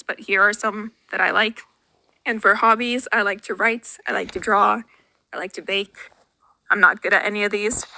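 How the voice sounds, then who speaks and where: A woman's voice: fairly high pitch, bright, forceful tone, slight background noise, rough recording. A young woman from Finland, a native Finnish speaker, answering viewer questions in a dry, self-deprecating voice-over. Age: young adult. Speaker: YouTuber. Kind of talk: Q&A vlog voice-over.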